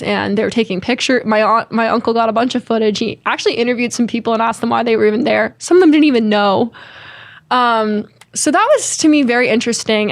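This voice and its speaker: A woman's voice: fairly high pitch, no echo. A young woman from Connecticut in her twenties, a guest on an interview show, answering in an earnest, upbeat way with frequent ums and restarts. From Connecticut, United States. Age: 20s. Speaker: guest, former college swimmer and advocate.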